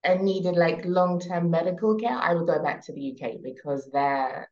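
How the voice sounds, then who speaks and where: Low-voiced London woman, relaxed. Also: moderate pace, highs cut off. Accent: British, London. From England. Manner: relaxed and conversational, frequent ums and trailing soft endings. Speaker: guest. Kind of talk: casual podcast interview.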